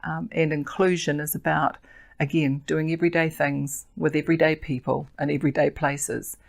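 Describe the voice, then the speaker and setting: Low, gravelly older New Zealand woman. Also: level tone. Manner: earnest and measured, with frequent fillers. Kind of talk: interview answers.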